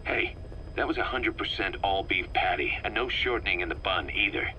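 A man's voice: low-pitched, moderate pace, pushed and bright, rough recording. An actor playing a wry, businesslike military man with an exasperated edge and casual phrasing, in a video game. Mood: defensive. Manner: casual, wry, hesitant asides. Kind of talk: scripted video game drama. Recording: faint background noise.

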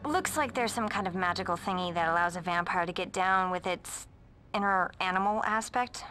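A woman's voice: fairly low pitch, brisk, pushed and bright. An actress playing a vain, sarcastic popular teenage girl in a video game, snippy and self-satisfied. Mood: smug, sarcastic.

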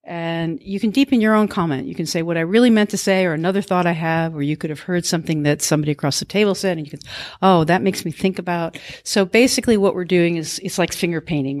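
Woman, low voice, earnest facilitator, dry close sound. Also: no room sound. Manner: earnest, expressive, uses imagery. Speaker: guest author explaining a game. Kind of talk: group discussion facilitation.

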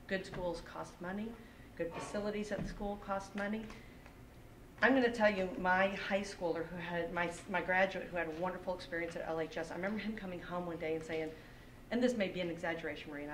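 A woman in her forties from Texas with a Texan accent, speaking as a parent at a school board public comment, earnest and pleading with emphatic repetition. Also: fairly low pitch. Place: school library meeting room. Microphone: podium microphone, picked up from a distance. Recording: echoey room, distant mic, audible background hiss.

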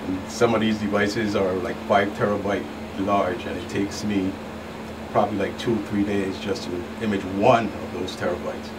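Male guest investigator, lowish pitch, methodical explainer, recorded at a distance with background noise. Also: steady tone, normal speed. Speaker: investigating agent, guest expert. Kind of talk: explanatory radio interview answers. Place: small radio studio. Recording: noisy, distant mic with room hum.

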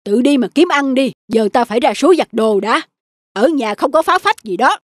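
Woman as a high-voiced, scolding old wife. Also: expressive, normal speed, no echo, studio quality. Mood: scornful, angry. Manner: sharp-tongued, bossy. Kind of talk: children's fairy-tale storytelling. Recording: music behind the voice.

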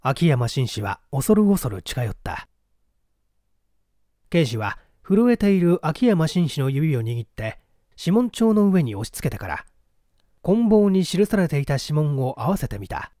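Mellow, expressive male story narrator. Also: fairly high pitch, unhurried, no room sound, clean studio sound. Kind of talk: dramatic scripted story narration.

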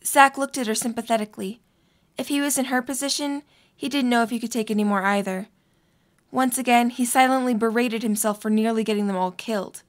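A woman's voice: on the high side, steady tone, fairly quick. A woman reading scripted story narration as the narrator of an audio drama.

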